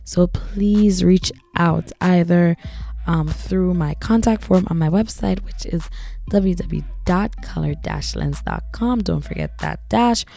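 A young woman in her twenties hosting a solo podcast, husky and raspy from losing her voice, chatting casually and giving advice. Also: fairly low pitch, big pitch swings, unhurried, dark tone, soft background music. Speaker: podcast host.

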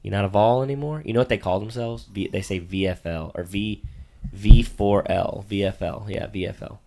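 A man's voice: on the low side, normal speed, dark tone. A middle-aged man, a father co-hosting a casual chat about game picks, patient and dryly teasing in a matter-of-fact way.

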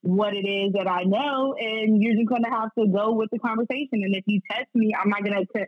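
A woman's voice: medium pitch, fairly even intonation, brisk, dry recording, phone-quality sound. A woman speaking candidly and slangily as a guest sports reporter in a casual podcast conversation.

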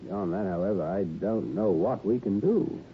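An actor playing a fussy, genteel violin dealer in a radio drama, precise, refined and a little bewildered. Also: male voice, low-pitched, normal speed, a little hiss or hum, close to an everyday voice. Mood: regretful. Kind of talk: 1950s-60s radio drama acting. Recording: tinny vintage radio broadcast.

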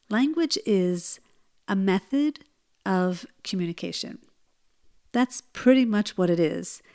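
A woman hosting her own podcast, speaking in a gentle, earnest way and telling personal stories. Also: mid-range voice, creaky. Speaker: podcast host. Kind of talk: solo podcast talk.

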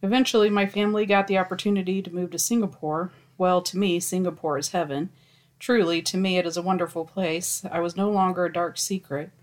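Woman, casual co-host, reads stories aloud with dry, deadpan wit. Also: lowish voice, fairly quick. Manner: wry, deadpan asides and swearing. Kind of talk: casual chat reading posts aloud.

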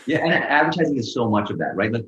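A man's voice: medium pitch, very animated, fast, a bit bright, reverberant, dull audio. A young man who grew up in Pakistan, talking loosely in an interview with lots of "like" and restarts. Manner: loose, rambling, full of "like" and restarts. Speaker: guest. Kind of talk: casual interview conversation.

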